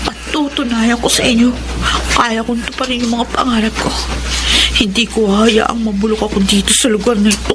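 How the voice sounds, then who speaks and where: A woman's voice: fairly high pitch, flat delivery, moderate pace, lightly acted. An actress playing a determined, poor young woman from the provinces in a Tagalog radio drama, earnest and emotional. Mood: defiant. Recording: noisy drama track with background ambience.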